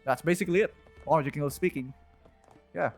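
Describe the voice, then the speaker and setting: A man's voice: on the high side, a little fast, vocal fry. A man giving casual playthrough commentary as a game streamer, rambling and repeating himself as he thinks aloud. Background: game music.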